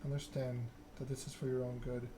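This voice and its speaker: A man voicing a curt, coldly controlled vampire enforcer from a story game, speaking in a measured, threatening manner. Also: mid-range voice, flat delivery, lightly acted. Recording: clear background noise.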